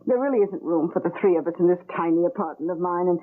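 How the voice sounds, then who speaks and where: An actress playing a fussy, superstitious, doting middle-aged aunt in an old-time radio drama, on a tinny recording. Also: female voice, lowish voice, fast, close to an everyday voice. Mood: wistful.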